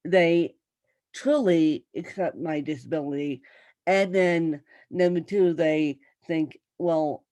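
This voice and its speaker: A woman's voice: low voice, dark tone, no room sound. A woman hosting an interview show, chatting with her guest and talking straight to viewers. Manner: chatty, addresses viewers as "you guys". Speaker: talk show host. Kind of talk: conversational interview.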